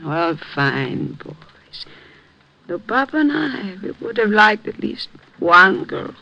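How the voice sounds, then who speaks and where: Creaky, bossy old immigrant mother. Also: mid-range voice, very animated, slow pace, bright, forceful tone, dull audio, exaggerated voice. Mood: wistful, proud. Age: older. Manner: commanding, fussing, broken English. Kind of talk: 1950s radio drama acting. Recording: vintage radio recording, faint noise.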